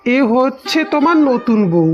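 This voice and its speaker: A woman's voice: sits high, sing-song, measured pace, soft background music. A woman voicing a cold, domineering village husband in a melodramatic cartoon. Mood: self-satisfied. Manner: stern, dismissive. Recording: dull lo-fi cartoon soundtrack.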